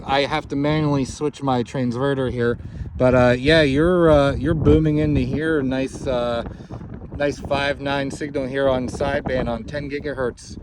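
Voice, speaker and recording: A man with a mid-pitched voice talks as an amateur radio operator in a casual, technical exchange. His delivery is halting, and he is recorded outdoors with clear street and vehicle ambience around him. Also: noisy background. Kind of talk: casual ham radio contact, giving call signs and signal reports.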